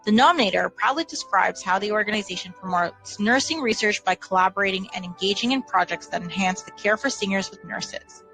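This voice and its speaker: A woman narrating a scripted award video with an even, formal read. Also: medium pitch, brisk, gravelly, few pauses, low-quality recording. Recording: soft music behind.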